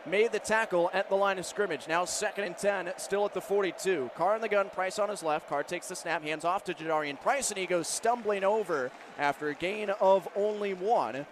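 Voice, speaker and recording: An American man calling live football play-by-play on the radio, describing each move and building to excited calls. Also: high-pitched, moderate pace. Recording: clear stadium noise behind broadcast commentary. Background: outdoor stadium ambience.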